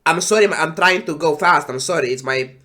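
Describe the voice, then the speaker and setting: A man who is sick and congested, sighing and talking slowly while casually reading game patch notes on a stream. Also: fairly high pitch, sharp tone. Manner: slow, sighing, trailing off. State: congested with flu.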